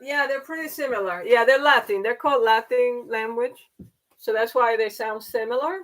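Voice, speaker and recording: A woman's voice: fairly high pitch, normal speed, a bit bright. Chatty woman. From Panama. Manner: rambling, chatty, many fillers and restarts. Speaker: livestream host. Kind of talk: casual chat.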